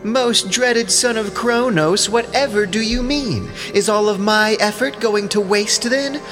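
Man acting as proud goddess queen, high voice, theatrical nagging indignation, ancient epic audio drama, faint music. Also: measured pace. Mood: indignant. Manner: theatrical, pointed, indignant speech. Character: haughty, aggrieved goddess queen, a nagging divine wife. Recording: music behind the voice.

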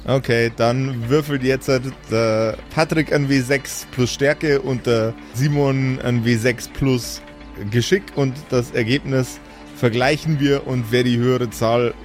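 A man's voice: medium pitch, steady tone, measured pace, faint music behind. A man narrating an improvised tabletop role-playing audio game as game master.

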